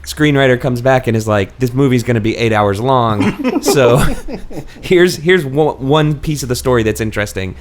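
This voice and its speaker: A man's voice: mid-range voice, animated, normal speed, slight background noise. Chuckling man in casual podcast talk. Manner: rambling, laughs through his sentences. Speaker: podcast co-host. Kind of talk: casual comedic book discussion.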